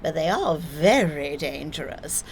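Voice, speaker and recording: A woman playing an eccentric, theatrical nurse in an audio play, breezy even about grave matters. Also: low-pitched, unhurried, a little hiss or hum. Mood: brisk, odd.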